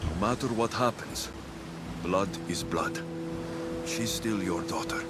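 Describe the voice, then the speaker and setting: An actor playing a stoic, honorable mercenary hero in a video game. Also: male voice, deep voice, slow pace, over loud music. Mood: earnest, grave. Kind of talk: video game cutscene acting.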